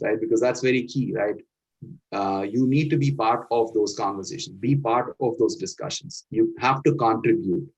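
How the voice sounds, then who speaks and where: A man's voice: mid-range voice, darkish tone. A middle-aged man from India with an Indian accent, giving earnest career advice and often checking in with "right?". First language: Indian language. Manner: earnest, instructive, peppers points with "right?". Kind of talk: motivational talk. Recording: dull lo-fi sound.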